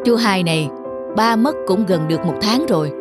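A woman's voice: medium pitch, lively intonation, moderate pace, close to an everyday voice. A woman voicing a selfish, bossy older brother in a folk-tale cartoon, pushy and self-justifying. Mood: smug, insistent. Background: background music.

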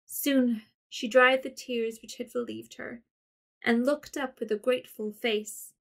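A woman's voice: on the high side, fairly even intonation, gravelly, a bit bright. Woman narrating a period story. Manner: measured storybook reading. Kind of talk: scripted narration.

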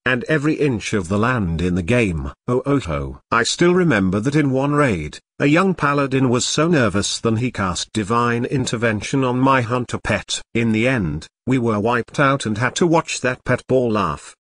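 A man's voice: mid-range voice, lively intonation, normal speed, hardly any pauses, a bit bright, no echo. A man voicing a hearty, sentimental old dwarf elder telling nostalgic tales in an audiobook. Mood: fond, nostalgic.

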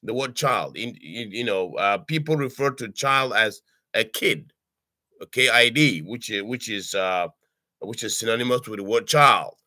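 Older man from Cameroon, language tutor, bright forceful voice, halting delivery full of uh's, dry close webcam sound. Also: fairly low pitch, no echo. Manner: halting, many "uh"s and restarts, frequent "okay?" check-ins. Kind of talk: informal online language lesson. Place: home study with bookshelves. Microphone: webcam on a video call.

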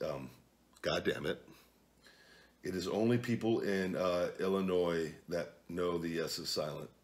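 Deep-voiced, blunt man ranting at home. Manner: blunt, profane, swings to loud outbursts and mutters. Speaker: vlog host. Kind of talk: casual chat reading viewer comments. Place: home living room at night, seated at a table.

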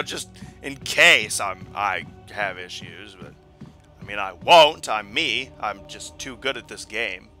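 A young man commenting on a video game as he plays, joking and mocking himself, with a hoarse voice that he says is going. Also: medium pitch. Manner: joking, self-mocking, animated. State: hoarse, losing his voice. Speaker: gaming channel host. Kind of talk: casual let's play commentary.

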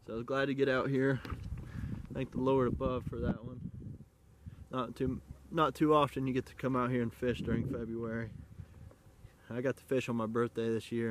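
A young American man chatting casually to viewers as a fishing vlogger, in a laid-back, folksy way, outdoors on an action camera. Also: mid-range voice. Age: young adult. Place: outdoors on and beside a pond. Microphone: body-worn or handheld action camera. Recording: windy action camera, water and boat noise.

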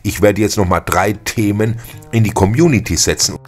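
A middle-aged man with a husky voice hosts a podcast monologue, chatting informally and giving listeners direct advice. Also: on the low side, normal speed, soft background music. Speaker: podcast host. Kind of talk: casual spoken podcast monologue addressed to listeners.

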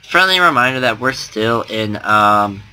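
A man's voice: medium pitch, animated, unhurried, bright, forceful tone, clear, resonant tone, low-quality recording. A young man with a slight lisp giving casual gameplay commentary, rambling with lots of fillers and restarts. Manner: rambling, self-deprecating, frequent fillers and restarts.

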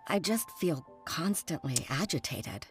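An actress voicing an earnest, weary young female official in a game, speaking softly. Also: low voice, big pitch swings, unhurried, faint music behind, heavily acted. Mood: subdued. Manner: soft, subdued.

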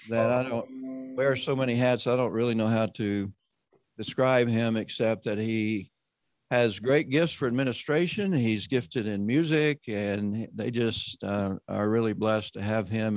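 A man hosting an online roundtable, introducing panelists and leading a prayer in a measured, conversational way. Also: medium pitch, no echo. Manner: measured, conversational, often slowing down. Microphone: video call.

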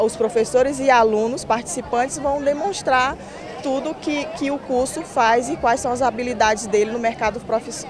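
Woman, organizer type, explanatory, slightly high, outdoor crowd behind. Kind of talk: explanatory interview. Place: outdoor campus fair. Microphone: handheld reporter mic.

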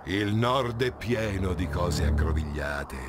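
Un attore che interpreta un guerriero mitologico grandioso, declamatorio e drammatico, in un filmato di videogioco. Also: male voice, low-pitched, expressive, takes it slowly, background noise. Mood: somber. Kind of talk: video game cutscene acting. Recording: loud music under the voice.

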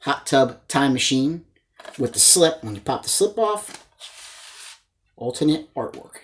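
A man in his forties talks to the camera as a channel host. His voice sits at an average pitch. He is enthusiastic and informal, presenting items in a casual, chatty way and addressing viewers as guys. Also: big pitch swings, measured pace, a little hiss or hum. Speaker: host of a movie collecting channel. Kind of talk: casual chat showing a haul to camera. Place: small indoor room lined with disc shelves.

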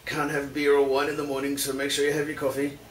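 A man's voice: a little high, completely flat delivery, fast talker. A man in his thirties giving an informal, chatty cooking tutorial as the host, talking directly to viewers. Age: 30s. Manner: informal, addresses viewers as guys. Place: home kitchen, indoors. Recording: clearly noisy home kitchen recording.

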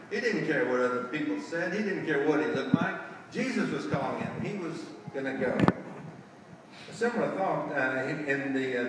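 A man's voice: medium pitch, background noise. A man in his sixties preaching a folksy sermon from the pulpit, often asking the congregation rhetorical questions. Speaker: lay preacher. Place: large church sanctuary, indoors. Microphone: far camera at the back of the sanctuary. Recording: echoey church hall, distant camera.

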